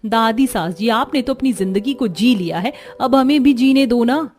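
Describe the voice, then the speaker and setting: A woman voicing a spirited young daughter-in-law, expressive and pleading, in a cartoon story. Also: a little high, moderate pace, natural voice. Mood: pleading, defiant. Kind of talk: Hindi cartoon story voice acting.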